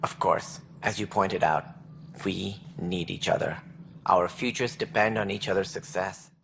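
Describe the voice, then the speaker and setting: Formal, wry alien bureaucrat, noisy backdrop. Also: male voice, sits low, fairly even intonation, measured pace, creaky, fairly bright tone, full character voice. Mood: wry, advisory. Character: officious alien Salarian bureaucrat, wry and earnest station director. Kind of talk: video game dialogue acting.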